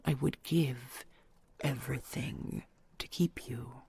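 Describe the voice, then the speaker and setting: A woman playing an ancient dragon queen, a tender and possessive lover, in an intimate romantic audio roleplay, whispery and hushed with formal archaic phrasing. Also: very deep voice, steady tone, takes it slowly, dry recording, heavily acted. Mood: anxious, longing. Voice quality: breathy, whispery.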